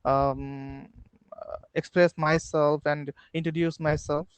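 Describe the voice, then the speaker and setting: Bangladeshi man, twenties, English learner. Smooth, lightish voice, hesitant casual conversation by phone. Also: fairly high pitch, level tone, slow pace, no room sound. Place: video call on a phone.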